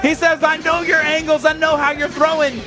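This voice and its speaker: A man giving excited, exclamatory live play-by-play commentary as an esports commentator. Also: very high voice, normal speed. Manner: exclamatory, hyped. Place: live tournament venue. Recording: loud event hall, crowd and music. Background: cheering crowd and music.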